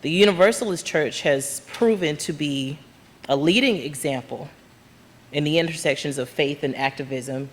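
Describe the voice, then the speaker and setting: A woman from Georgia, a labor activist, giving a loud and earnest talk from the heart to a church congregation. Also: low voice, moderate pace. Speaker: guest speaker, labor union activist. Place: church sanctuary. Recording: long hall reverb, faint room noise.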